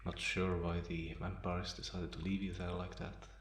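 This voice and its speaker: A man playing a calm, teasing half-vampire in an ASMR roleplay, pausing often and sounding softly amused and restrained. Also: sits low, lightly acted. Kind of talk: slow ASMR roleplay monologue. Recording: roomy echo, faint background noise.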